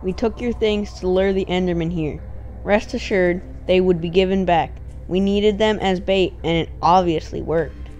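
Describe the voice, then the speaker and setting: A woman's voice: lowish voice, normal speed, a little mellow, highs cut off, soft background music, lightly acted. A woman voicing an earnest, brave young man, a loyal and firm village hero in a children's story. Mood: earnest reassurance.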